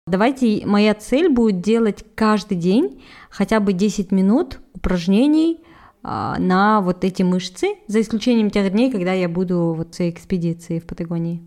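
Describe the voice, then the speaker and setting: An upbeat woman co-hosting a relaxed podcast chat. Her voice is warm and mellow, at a middle pitch, and she is quick to cheer the others on. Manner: upbeat, quick approving interjections. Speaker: podcast co-host.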